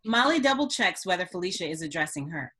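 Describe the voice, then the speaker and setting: A woman's voice: lowish voice, very animated, fast, pushed and bright, husky. Woman narrating screenplay stage directions. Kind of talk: scripted narration at screenplay table read.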